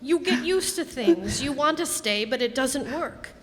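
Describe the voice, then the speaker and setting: A wistful, polite boy in a radio drama, acted by a woman. The voice is fairly high and sounds older in spirit than a child. It echoes around a large hall. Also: expressive, a little slow, a bit bright, slight background noise. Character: wistful, innocent-seeming boy, polite, old beyond his years. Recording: echoey hall, long reverb.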